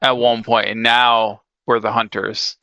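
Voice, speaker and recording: A man's voice: medium pitch, little pitch movement, a little slow, pushed and bright, dry recording, muffled recording. A man chatting casually as a co-host and player in a tabletop gaming discussion. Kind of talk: casual conversational chat.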